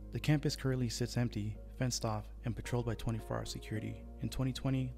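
A man giving scripted documentary narration in a steady, even delivery over background music. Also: fairly low pitch, gravelly. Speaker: history channel narrator.

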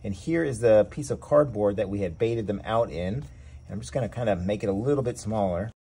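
A man hosting a casual how-to video, calmly explaining each step as he works on his back porch. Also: lowish voice, brisk, a little hiss or hum. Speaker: worm farming channel host. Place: outdoor covered back porch.

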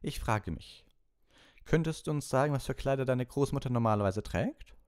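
A man's voice: mid-range voice, expressive, moderate pace, darkish tone, pitched above the performer's own voice, lightly acted. Guy doing a cheery wizard professor for an audiobook, voice lifted up, patient n kindly, really swoopy delivery. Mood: gentle, probing. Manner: patient, clear instructing, kindly. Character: cheerful, encouraging male wizard teacher. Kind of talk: animated audiobook character voice.

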